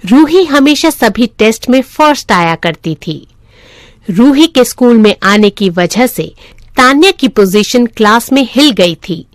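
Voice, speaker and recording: A woman's voice: a little high, no room sound, clean studio sound. A woman narrating a scripted moral story for children as a storyteller.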